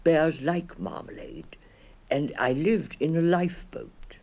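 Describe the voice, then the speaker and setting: A little storybook bear, played by a man, speaks in a small, clear voice lifted above the narration. He is young, very polite and earnest, with careful, proud dignity. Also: a little high, slow, a little mellow, pitched above the performer's own voice. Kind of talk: children's audiobook character voice.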